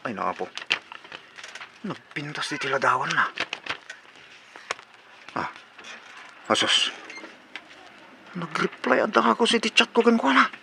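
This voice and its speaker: Guy doing a nosy teasing young son in radio comedy, slow but lively, noisy background. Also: fairly high pitch, vocal fry, heavily acted. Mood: smitten, sly. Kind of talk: Ilocano radio comedy drama acting.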